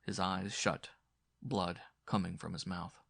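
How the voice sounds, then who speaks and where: A male audiobook narrator reading horror fiction dramatically. His voice is fairly low and gravelly, with a forceful edge, recorded very dry. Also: flat delivery, measured pace, dry recording.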